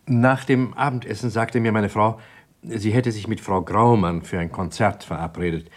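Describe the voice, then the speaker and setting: An uneasy, guarded doctor, played by a man with a fairly low, husky voice. He speaks haltingly and evasively, in the manner of a radio crime drama. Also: lightly acted. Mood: explanatory. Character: uneasy male doctor, guarded jealous husband.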